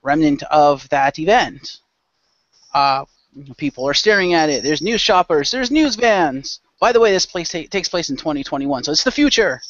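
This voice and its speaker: Higher-pitched man hosting, dry lo-fi sound. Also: lively intonation, normal speed, fairly bright tone, no room sound. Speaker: host and game master. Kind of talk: casual chat and narration introducing a role-play show. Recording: dull lo-fi close microphone.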